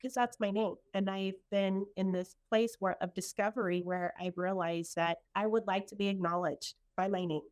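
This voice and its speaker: A woman's voice: mid-range voice, steady tone, moderate pace, few pauses, a little mellow. A middle-aged woman from El Salvador, sharing personal stories in an earnest, reflective conversation. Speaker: guest in a conversation.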